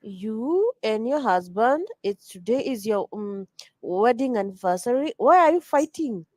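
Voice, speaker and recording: A woman hosting a casual livestream chat, teasing a guest and tripping over quick restarts. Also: fairly high pitch, expressive, a little mellow, dry recording. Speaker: livestream host.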